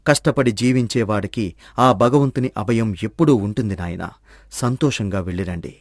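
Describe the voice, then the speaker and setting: A man's voice: fairly low pitch, moderate pace, dry recording, clean studio sound, close to an everyday voice. A man playing a serene, kindly old holy man. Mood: calm, benevolent. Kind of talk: scripted moral-story dramatization.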